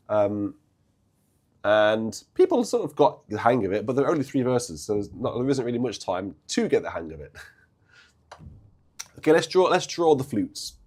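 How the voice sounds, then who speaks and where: A hoarse man, chatting haltingly on a live stream about church organs. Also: medium pitch, a little mellow. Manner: halting, chatty, many restarts and fillers. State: hoarse, coming down with a cold. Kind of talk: casual live-stream chat.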